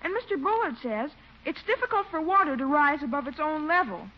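A woman's voice: high-pitched, expressive, a little fast. A woman voicing a prim, overly polite and smug little boy in a 1940s radio comedy, speaking formally and precisely. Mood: innocently smug. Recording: muffled, hissy old radio broadcast.

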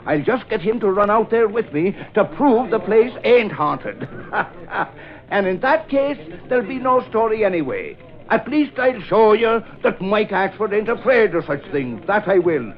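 An actor playing a blustery, boastful Irish reporter, indignant and emphatic, in 1940s radio style. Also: male voice, sits high, sing-song, a little slow. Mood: indignant bluster. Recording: dull old radio recording.

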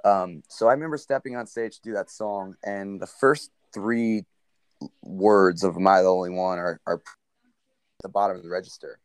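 A young man with a very low, smooth baritone voice tells a story in an easygoing interview. He rambles a little, leaning on ums and you-knows. The sound is close and dry. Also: moderate pace, dry recording. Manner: relaxed, rambling with many fillers. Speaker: country singer, guest.

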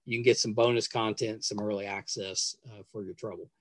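A man's voice: on the low side, fairly even intonation, brisk, no echo, studio quality. A man hosting a podcast, chatting casually to listeners in an effusive, gushing manner. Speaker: podcast host and interviewer. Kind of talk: casual chat and outro to listeners.

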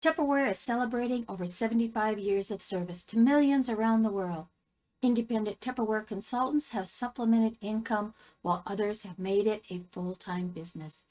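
A woman's voice: mid-range voice, a little mellow, poor audio. Woman reading a scripted promotional spot. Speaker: advert announcer.

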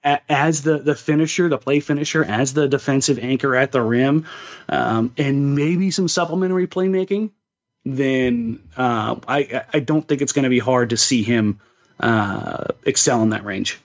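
A man's voice: medium pitch, moderate pace, lo-fi sound. A man co-hosting a sports podcast, giving casual, opinionated basketball analysis and repeating words emphatically as he reasons.

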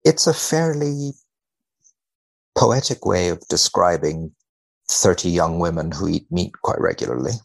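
Low, gravelly man with deadpan delivery. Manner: deadpan, measured, dry humour. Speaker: podcast guest, biomedical researcher. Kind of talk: wry conversation and dramatic reading of a research paper.

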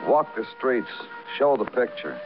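A man's voice: medium pitch, very animated, a little slow. An American man acting a weary, poetic big-city police detective in a vintage radio noir drama, with terse questions and brooding rhythmic narration. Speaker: lead actor and narrator. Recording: muffled vintage radio recording with music.

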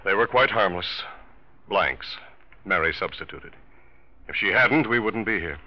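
An actor playing a calm, grave older uncle who gently reproves, in a 1940s radio drama. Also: male voice, low-pitched, measured pace. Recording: muffled old radio recording.

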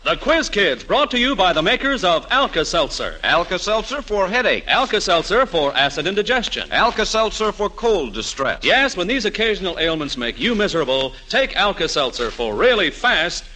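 An American man announces in old-time radio style, his voice high and very animated. It is bright and forceful, on a vintage recording with faint noise. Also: moderate pace. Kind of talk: mid-century radio announcing and sponsor reads.

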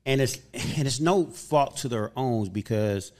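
A man's voice: mid-range voice, a little slow. A man in his fifties hosting a podcast, telling stories in an earnest, upbeat way during a casual chat. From Southern California. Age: 50s. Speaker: podcast host.

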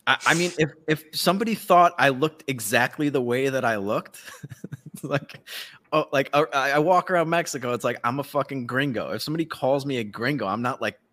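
A man with a medium-pitched voice talks as a podcast co-host. His style is loose, comedic banter. He is irreverent and swears freely, rambling through his points. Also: fairly quick, fairly bright tone.

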